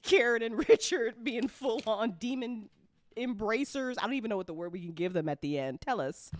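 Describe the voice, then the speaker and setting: A woman co-hosting and talking as an audio drama writer in an animated, playful group chat, swearing freely and laughing often. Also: on the high side, no room sound. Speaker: audio drama writer and after-show co-host.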